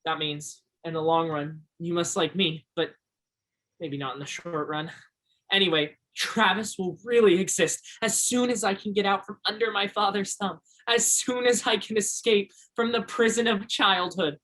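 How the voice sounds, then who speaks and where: A man's voice: high-pitched, fairly even intonation, normal speed, a bit bright. Male actor as teenage boy, earnest, romantic dreamer, theatrical stage delivery. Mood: apologetic, earnest. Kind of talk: stage play acting.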